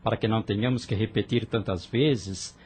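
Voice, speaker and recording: A man's voice: fairly low pitch, fairly even intonation, normal speed, darkish tone, breathy edge. A man playing an earnest, idealistic young rebel who argues passionately with his sister in an audio drama. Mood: persuasive. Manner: fervent, persuasive, earnest.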